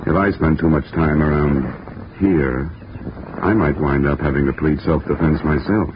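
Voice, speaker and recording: An actor playing a hard-boiled insurance investigator, dry and wisecracking, in 1950s radio drama style. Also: male voice, extremely low pitch, fairly even intonation, normal speed, background noise. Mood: dry flirting. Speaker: investigator narrating his case. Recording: muffled old radio recording with music.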